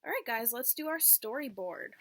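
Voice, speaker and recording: A woman's voice: on the high side, expressive, normal speed, fairly bright tone. A woman reading a picture book aloud to young children, teacher-like, in simple phrases and checking in with questions.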